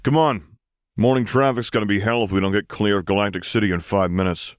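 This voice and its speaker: A man's voice: lowish voice, fairly even intonation, brisk, no room sound, clean studio sound, close to an everyday voice. A man voicing a brash, wry, streetwise starship pilot in an audiobook, with a slangy, offhand drawl. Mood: brash, dismissive.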